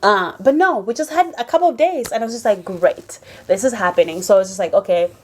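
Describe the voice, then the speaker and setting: An animated young woman from Uganda tells a personal story straight to the camera. English is not her first language, and she talks casually, full of "like" and restarts, with her voice sitting in a middle pitch. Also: quick pace. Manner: animated, expressive storyteller with many fillers. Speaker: vlogger.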